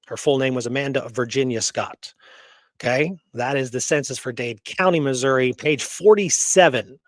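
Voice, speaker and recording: A man's voice: medium pitch, moderate pace, no room sound. A man co-hosting a casual history talk, going through research notes conversationally and often checking that his listener follows. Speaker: co-host presenting historical research.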